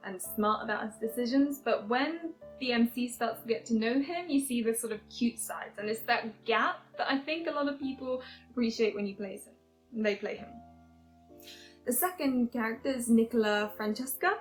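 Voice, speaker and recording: A young English woman with a fairly high voice talks casually, hesitating and restarting often. Soft guitar music plays behind her. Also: normal speed, rough recording. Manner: chatty, hesitant with many fillers and restarts. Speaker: game reviewer. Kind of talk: casual video review.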